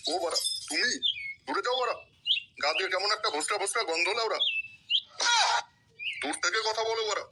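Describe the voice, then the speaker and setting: A man's voice: high voice, fairly quick, pauses often, very noisy, lightly acted. A man voicing a grumbling, foul-mouthed village husband in a crude comic cartoon, coarse and complaining. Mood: irritated, scornful.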